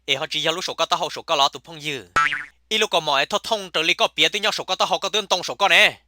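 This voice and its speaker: A man voicing a comic male cartoon character who chatters in a rapid, emphatic way. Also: on the high side, pushed and bright, dry recording. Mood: chatty.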